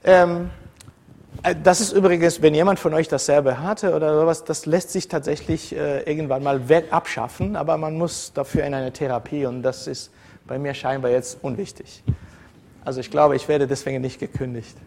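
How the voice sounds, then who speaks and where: A man's voice: on the high side, fairly even intonation, moderate pace, creaky, a little mellow. A man from the former Soviet Union, a university lecturer who speaks informally to his students, wandering off topic with frequent fillers and restarts. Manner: digressive and conversational, many fillers and self-corrections.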